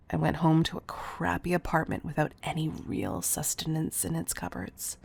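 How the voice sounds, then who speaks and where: Woman acting as tough but tender female CEO, intimate roleplay, deliberate delivery, breathy near-whisper, crackling vocal fry. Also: low-pitched, darkish tone, no echo, full character voice. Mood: anguished, exasperated. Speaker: audio roleplay voice performer.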